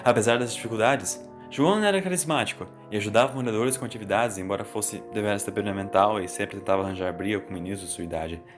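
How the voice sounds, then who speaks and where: A man's voice: on the low side, fast, hardly any pauses. A man with a Brazilian accent reading a scripted story as its narrator, in Portuguese storytelling style. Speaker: narrator of an audio fan story. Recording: voice over background music. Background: music.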